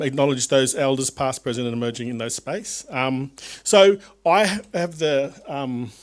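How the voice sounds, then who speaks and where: A man's voice: mid-range voice, no echo. A man from North Queensland presenting as a university director at an academic conference, speaking hesitantly with many fillers and restarts. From North Queensland, Australia.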